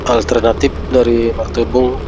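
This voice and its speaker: A man's voice: mid-range voice, little pitch movement, normal speed. A man, chatting to viewers as he vlogs while travelling along flooded city streets. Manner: chatty, keeps saying "friends" to viewers. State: stuffy nose from a cold. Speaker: vlogger. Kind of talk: casual travel vlog narration. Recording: traffic noise outdoors.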